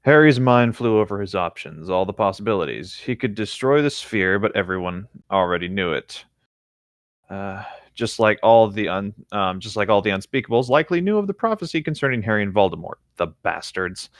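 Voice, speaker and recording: Casting: man with a lowish voice and close, dry sound for casual story reading and banter. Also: animated, a little fast, dry recording. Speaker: co-host of a fanfiction reading show. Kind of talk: casual reading of fiction with commentary.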